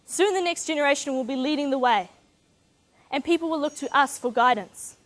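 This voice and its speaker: A teenage woman from New Zealand with a New Zealand accent, giving an earnest, measured competition speech. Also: high voice. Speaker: speech contestant.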